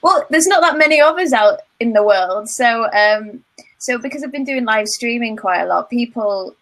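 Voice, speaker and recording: A woman's voice: fairly high pitch, lively intonation, normal speed, a bit bright. Chatty young northern English woman. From northern England. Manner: chatty, laughs often. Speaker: guest, procrastination coach. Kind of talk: casual interview.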